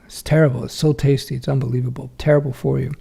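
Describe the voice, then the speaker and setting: Gravelly relaxed man answering chat. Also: medium pitch, quick pace. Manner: frank, chatty, many fillers and self-corrections. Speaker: livestream host answering viewer questions. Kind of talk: casual livestream Q&A, reading chat aloud.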